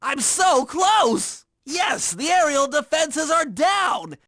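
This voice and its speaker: A man's voice: very high voice, lively intonation, slow pace, pushed and bright, no room sound, studio quality, exaggerated voice. A man voicing a nerdy, anxious young male turtle inventor and hacker in a video game, speaking in precise, wordy technical phrases. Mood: confident.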